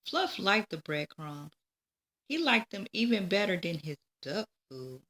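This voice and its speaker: A woman reading a children's storybook aloud, with expressive, deliberate phrasing. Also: medium pitch. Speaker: storybook reader. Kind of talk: children's read-aloud narration.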